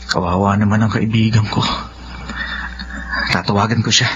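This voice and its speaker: A man acting a respectful, soft-hearted young son in a Filipino radio drama. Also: fairly low pitch, fairly even intonation, normal speed, loud music behind the voice, natural voice. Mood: concerned. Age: young adult. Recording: dull lo-fi audio with faint noise.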